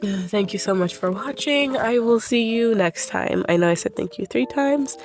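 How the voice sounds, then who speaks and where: A woman's voice: a little high, expressive, normal speed, soft background music. A woman who is sick and sniffling, her voice congested, talking casually about her characters, often correcting herself and drifting off script. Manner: rambling, self-correcting, goes off script. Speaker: creator presenting her characters. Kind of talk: casual rambling vlog commentary.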